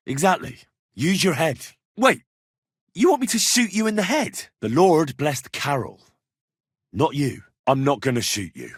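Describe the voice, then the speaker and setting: A man's voice: mid-range voice, breathy edge, no room sound, studio quality, heavily acted. An actor playing a curious, plain-spoken and amiable young man of medieval Bohemia in a video game, with an inquisitive, conversational delivery. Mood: reasoning, firm. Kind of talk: video game dialogue voice acting.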